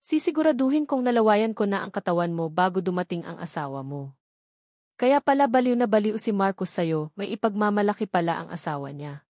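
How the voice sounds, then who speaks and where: A man's voice: sits high, animated, fairly quick, darkish tone, dry recording, studio quality. A woman voicing a menacing, vengeful male crime boss who taunts and sneers in a storybook reading. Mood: leering, cruel.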